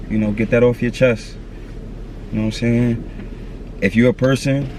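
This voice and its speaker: A man's voice: mid-range voice, a little mellow, a little hiss or hum. A man in his thirties talking candidly to camera outdoors, earnest and slangy, repeating his advice. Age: 30s. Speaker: vlogger. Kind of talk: candid motivational talk to camera.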